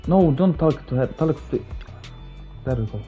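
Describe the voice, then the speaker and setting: A man's voice: a little high, lively intonation, normal speed, dark tone. A man giving casual live commentary as he plays a game, mostly talking to himself in short bursts. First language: Finnish. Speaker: game streamer. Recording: game music behind. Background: video game music.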